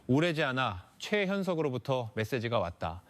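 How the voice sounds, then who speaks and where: A man's voice: mid-range voice, very animated, normal speed. A man narrating a scripted crime story in a serious, suspenseful, dramatic storytelling manner.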